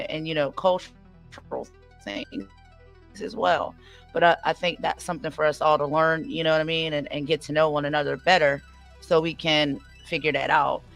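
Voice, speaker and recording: A woman's voice: sits low, fairly bright tone. A woman from the United States talking in a reflective, casual way on a live stream, using many fillers. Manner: reflective, filler-heavy, with many "you know"s. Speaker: debate guest.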